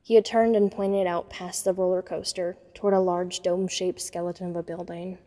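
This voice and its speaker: A woman's voice: on the low side, level tone, a little fast, dark tone, natural voice. A woman playing a self-conscious, wryly observant nerdy teenage girl who narrates a first-person audio drama. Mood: uneasy. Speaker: narrator and lead character. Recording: long reverb on the voice.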